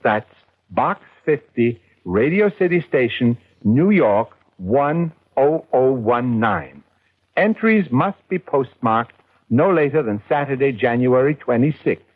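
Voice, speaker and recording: An American man reading a scripted 1970s radio announcement in a deliberate, carefully enunciated way. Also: mid-range voice, animated. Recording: muffled lo-fi 1970s radio broadcast.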